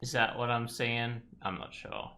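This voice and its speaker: A man's voice: mid-range voice, animated, unhurried, creaky, sharp tone. A man doing casual let's play commentary, rambling and self-deprecating. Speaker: game streamer.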